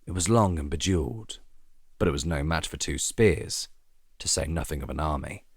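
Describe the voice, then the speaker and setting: Narrador de voz grave, gravação seca. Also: male voice, a bass voice, normal speed, husky, no echo, clean studio sound. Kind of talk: dramatic scripted story narration.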